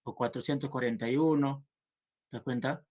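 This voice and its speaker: A man's voice: mid-range voice, steady tone, darkish tone. A man teaching a math class, working through problems aloud and checking with students as he goes. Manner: slow and patient, checks understanding with "¿no?".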